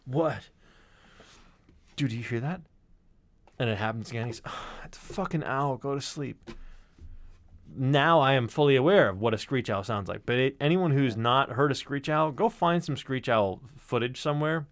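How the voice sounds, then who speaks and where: A man talking casually as a podcast sound designer, telling stories in an animated, sweary way. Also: medium pitch, moderate pace, dry recording. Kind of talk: casual chat and storytelling.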